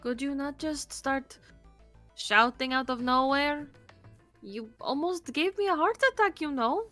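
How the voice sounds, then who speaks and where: Casting: woman playing snooty, impatient game heroine, fairly high voice, scornful emphasis, faint music. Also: a little slow, fairly bright tone. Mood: irritated, scornful. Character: haughty, irritable, sharp-tongued woman in mystery game. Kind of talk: visual novel character read aloud.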